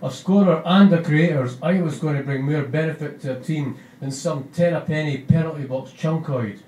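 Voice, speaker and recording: A man's voice: a little high, normal speed, a little mellow, lightly acted. A man voicing a dry, sardonic young male junkie with a Scottish accent. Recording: roomy, distant mic.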